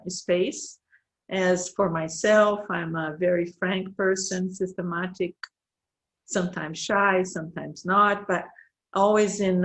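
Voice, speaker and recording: A woman in her fifties from Brazil, a native Portuguese speaker with a Brazilian accent, giving a halting online presentation as a university professor, with many fillers as she searches for words. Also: fairly low pitch.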